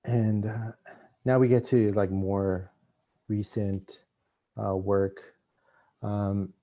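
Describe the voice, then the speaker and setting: A middle-aged man raised in Los Angeles giving an art lecture, halting with many restarts and fillers. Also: on the low side, level tone, dark tone. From Mexico, raised in Los Angeles. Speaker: visiting artist lecturer.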